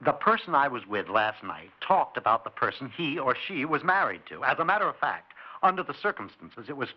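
Smug, lilting, enigmatic stranger. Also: male voice, medium pitch, very animated. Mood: cryptic, cool. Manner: suave, wry, deliberate phrasing. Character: unflappable, smug, enigmatic male intruder. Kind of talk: 1960s radio drama acting. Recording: dull 1960s radio recording.

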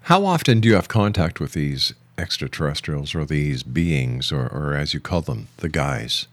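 A man's voice: a bass voice, expressive, moderate pace, a bit bright, no room sound. A man hosting a radio interview, asking probing questions and pressing his point by repeating them.

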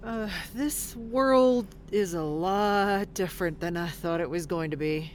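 An actress playing a blunt, skeptical, streetwise bus passenger in an audio drama, speaking colloquially. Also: female voice, mid-range voice, a little slow, close to an everyday voice. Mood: puzzled. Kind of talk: audio drama acting, conversational. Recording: faint background ambience noise.

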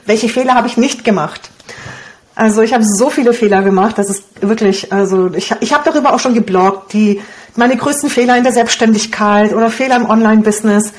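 Guest: woman in her 40s, Hungarian native, from Romania, cheeky and lively, fairly high voice, laughs easily. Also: fairly quick. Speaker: blogger and copywriter, guest. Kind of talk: casual interview talk.

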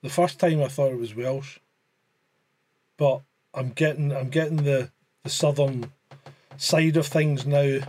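A man's voice: mid-range voice, fairly even intonation, unhurried, long pauses, a little mellow. A man co-hosting a podcast, chatting casually, telling crude jokes, swearing and laughing a lot. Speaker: podcast co-host.

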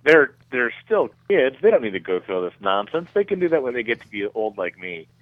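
A middle-aged man giving measured, conversational explanations as a guest in a radio interview, often repeating words as he goes. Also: mid-range voice, big pitch swings, no echo, phone-quality sound. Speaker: guest researcher being interviewed.

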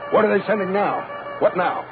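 Through a narrow, noisy 1940s radio recording comes a belligerent army man on a rocket ship. His voice is high and gravelly, and he barks questions and orders with frantic urgency. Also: brisk, music in the background. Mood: frantic, demanding. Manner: urgent, demanding, barking questions. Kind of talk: 1940s radio horror acting.

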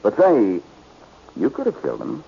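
An actor playing a cocky, sardonic, wisecracking amateur detective in a 1940s radio drama. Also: male voice, lowish voice, huge pitch swings, normal speed, vocal fry, husky, heavily acted. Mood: cool, probing. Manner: wisecracking, needling. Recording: muffled old-time radio recording.

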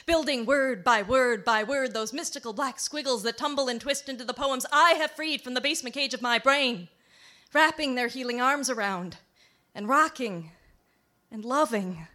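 A woman delivers a slam poem on a live stage. Her voice is high, bright and sharp-edged. The delivery is intense and rhythmic, building in incantatory repetitions. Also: normal speed. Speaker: slam poet. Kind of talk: performed slam poetry. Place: live poetry slam venue.

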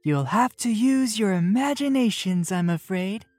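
A woman's voice: medium pitch, animated, normal speed, a little mellow, dry recording, studio quality, faint music behind, voice pushed up, close to an everyday voice. A woman voicing a kindly, playful, inventive mother in a children's storybook. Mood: playful instruction.

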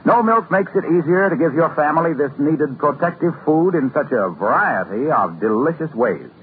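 Male American radio pitchman, high voice, sweeping intonation, polished 1950s delivery, tinny hissy recording. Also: expressive, normal speed. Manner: polished, emphatic salesmanship. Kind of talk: 1950s radio announcing, scripted ad copy.

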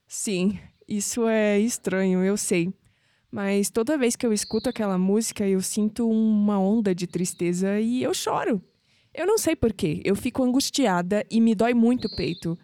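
A woman's voice: mid-range voice, normal speed, dark tone, no echo, close to an everyday voice. An actress playing a young, skeptical and searching Brazilian woman in an audio drama. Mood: vulnerable.

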